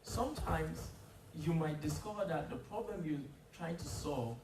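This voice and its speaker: A man with a slightly raised pitch gives calm, explanatory advice at a community panel. He is picked up from a distance in an echoey hall, with steady noise behind him. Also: vocal fry. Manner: measured, explanatory, some restarts. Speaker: panelist, founder. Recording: echoey room from far off, noisy.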